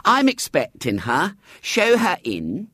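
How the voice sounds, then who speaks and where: A man playing a gushing, excitable rich mother. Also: sits high, takes it slowly, husky, no echo, studio quality, pitched above the performer's own voice, exaggerated voice. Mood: pleased. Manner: hysterical, gushing. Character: fussy, excitable wealthy mother.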